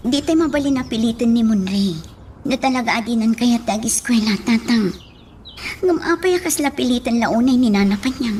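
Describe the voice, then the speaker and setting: A woman's voice: on the high side, expressive, normal speed, a little mellow, a little hiss or hum, close to an everyday voice. A woman playing an earnest, plaintive young woman in an Ilocano radio drama. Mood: troubled. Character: earnest, troubled young woman defending her sweetheart.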